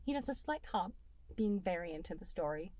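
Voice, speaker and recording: A woman reading scripted fan fiction as an expressive narrator. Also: fairly low pitch, brisk, dry recording. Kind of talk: expressive scripted story narration with character dialogue.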